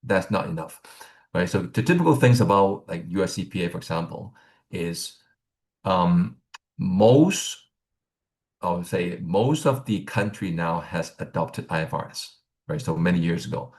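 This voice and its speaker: A man's voice: low voice, lively intonation, measured pace, a little mellow. A middle-aged man from Hong Kong, teaching about accounting credentials in a loose, rambling way, full of fillers and "right?" tags. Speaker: accounting exam instructor. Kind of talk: casual teaching talk.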